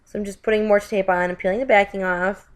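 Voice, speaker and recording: A woman's voice: medium pitch, steady tone, fast, clean tone. A woman narrating a card-making tutorial as a voiceover, sounding stuffy from a lingering cold, chatty and often correcting herself. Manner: chatty, with frequent self-corrections and "you guys". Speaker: craft video host.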